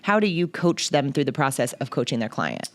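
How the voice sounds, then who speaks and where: A middle-aged American woman hosting a casual interview podcast, chatting in an animated, opinionated, joking way. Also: very deep voice, fairly quick. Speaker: podcast host.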